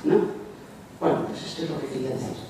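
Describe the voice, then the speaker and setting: A woman's voice: very deep voice, steady tone, fast talker. A woman with a Spanish accent from Spain speaks hesitantly from the floor, offering a comment at an academic panel. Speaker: audience member making a suggestion at an academic panel. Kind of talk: spontaneous public comment from the floor. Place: large echoey indoor conference room. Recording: echoey room, distant mic, faint noise.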